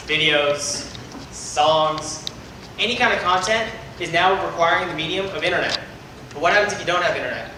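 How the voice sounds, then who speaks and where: Formal young man pitching in an echoey hall. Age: young adult. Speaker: startup pitch presenter. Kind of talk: scripted pitch presentation. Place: university event hall. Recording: echoey hall, distant mic, noisy.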